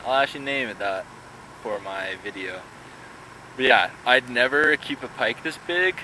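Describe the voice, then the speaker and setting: A young man vlogging outdoors with a camera held at arm's length, talking in a chatty, rambling way. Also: on the low side. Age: young adult. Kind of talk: casual fishing vlog. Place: outdoors by a pond. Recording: faint outdoor background noise.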